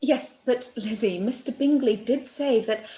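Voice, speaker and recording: Actress doing a soft earnest young lady, period radio play, mellow voice, echoey room. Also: fairly high pitch, a little slow, natural voice. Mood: gentle concern.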